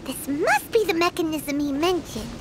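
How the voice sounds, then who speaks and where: A woman voicing a tiny, excitable, childlike fairy companion in a video game, with a squeaky, dramatic, easily indignant delivery. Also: moderate pace, background noise. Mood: curious, determined. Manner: refers to herself in third person, dramatic. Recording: loud music behind the voice.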